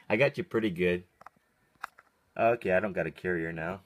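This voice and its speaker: A man casually testing a CB radio at his workbench in short, clipped calls, muttering to himself as he goes. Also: low voice. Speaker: CB radio hobbyist testing a vintage radio. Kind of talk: casual radio check chat. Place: cluttered indoor radio workbench. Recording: clearly noisy handheld recording with clicks.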